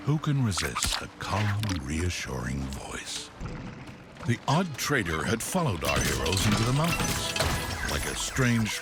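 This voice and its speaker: Deep, wry storybook narrator. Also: male voice. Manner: arch, playfully dramatic. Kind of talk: scripted narration.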